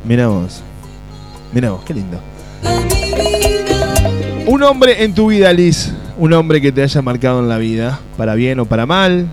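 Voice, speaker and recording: Teasing Rioplatense radio host. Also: male voice, very animated, unhurried, long pauses. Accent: Rioplatense Spanish. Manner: teasing, jokey, trails off into low asides. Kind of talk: playful radio interview. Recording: music playing behind the voice.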